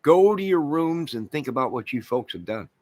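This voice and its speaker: A man's voice: fairly high pitch, animated, moderate pace, darkish tone, no room sound. An American man giving a rambling, off-the-cuff rant as an online channel host, speaking in an exasperated, repetitive and emphatic manner.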